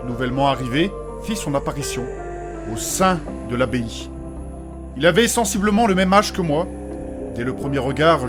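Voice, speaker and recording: A man playing a troubled, solemn adventurer in a fantasy audio drama, speaking in a formal, measured, literary way. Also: fairly high pitch. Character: troubled, solemn adventurer recounting and losing a memory.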